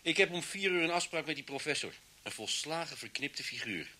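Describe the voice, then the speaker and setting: An actor playing an impatient, down-to-earth police detective in a vintage radio play, with a brisk, dry manner. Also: male voice, a little high, animated, bright, forceful tone, heavily acted. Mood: dry, eager.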